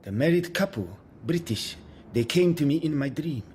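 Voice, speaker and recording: A man's voice: on the high side, animated, moderate pace, darkish tone, a little hiss or hum, lightly acted. A man playing a solemn, ominous apartment tenant in an audio drama. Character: solemn male tenant recounting an accusing dream.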